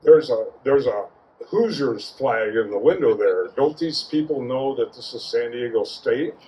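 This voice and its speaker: A man giving an informal, anecdotal tribute at a memorial, speaking haltingly and repeating words. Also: medium pitch, lively intonation. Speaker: memorial speaker, friend of the deceased. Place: outdoor garden gathering. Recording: dull lo-fi audio with clear noise.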